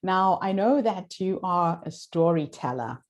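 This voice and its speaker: Low-pitched woman host. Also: expressive, measured pace. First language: Shona. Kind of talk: interview hosting.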